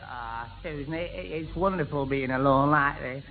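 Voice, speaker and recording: Soppy, halting young sweetheart played by a man. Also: on the high side, soft background music, lightly acted. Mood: soppy, romantic. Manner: halting, lovestruck. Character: soppy, bashful young sweetheart wooing his girl. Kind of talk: 1960s British radio sitcom acting. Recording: thin, tinny old radio recording.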